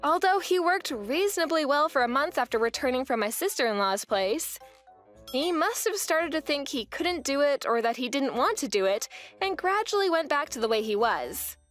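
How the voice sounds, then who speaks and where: A woman's voice: sits high, animated, moderate pace, a bit bright, soft background music. Earnest young woman narrating a manga dub. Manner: earnest, weary storytelling. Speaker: narrator and wife.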